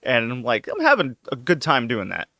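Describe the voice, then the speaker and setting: A man's voice: medium pitch, huge pitch swings, moderate pace, pushed and bright, no echo. A man hosting a casual podcast, loose and joking, laughing often as he talks. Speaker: podcast host. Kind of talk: casual chat.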